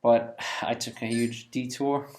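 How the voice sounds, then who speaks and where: A man's voice: medium pitch, little pitch movement, a little slow, a little mellow. A man in his twenties from Iraq, a junior doctor answering interview questions in a friendly, candid way. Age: 20s. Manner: friendly and candid, laughs lightly. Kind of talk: relaxed conversational answers.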